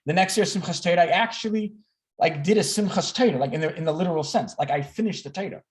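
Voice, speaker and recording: A man's voice: sits high, fast. A man in his thirties giving a candid, self-deprecating personal talk to a group on a video call, often repeating words and restarting. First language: English. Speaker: speaker at an online learning gathering.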